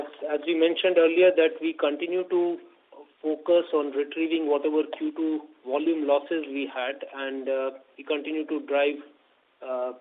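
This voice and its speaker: A man, a company executive answering questions on an earnings call. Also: fairly high pitch. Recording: thin band-limited phone line.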